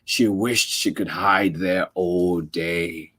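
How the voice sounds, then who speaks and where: A man's voice: low voice, takes it slowly. A man reading a picture book aloud to children, cooing and sighing along with the story and talking to his listeners. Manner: expressive, sighs and coos at the story. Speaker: storytime reader. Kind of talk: children's read-aloud with asides.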